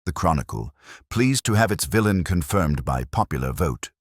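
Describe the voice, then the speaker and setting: A man with a very deep voice narrates a detective story from a script. His pitch moves in lively sweeps from that low base, and the sound is dry and close. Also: normal speed, no echo. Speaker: audiobook narrator.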